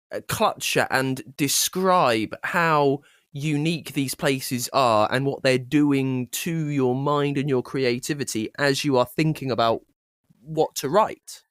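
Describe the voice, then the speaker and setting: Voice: mid-range voice, slow, dry recording. A podcast interviewer puts long, eager questions to a guest and restarts sentences as he goes. The recording is dry and close, with no room sound. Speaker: podcast host.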